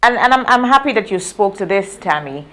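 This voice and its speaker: Female morning interviewer, Grenadian accent, medium-pitched voice, conversational and affirming delivery. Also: animated, brisk, bright, forceful tone. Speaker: morning show host.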